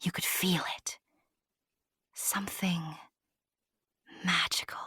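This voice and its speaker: A woman playing a whimsical, knowing fairy-tale narrator, husky and breathy, confiding theatrically in the listener. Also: on the low side, little pitch movement, slow, bright, forceful tone, no room sound, in a whisper, exaggerated voice. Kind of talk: whimsical scripted storytelling.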